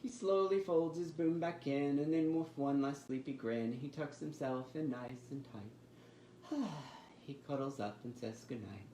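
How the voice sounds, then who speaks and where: A man hosting storytime for young children, with an expressive, sing-song delivery. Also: medium pitch, unhurried. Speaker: children's library storytime host. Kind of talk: reading picture books and leading finger rhymes. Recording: roomy echo with faint background noise.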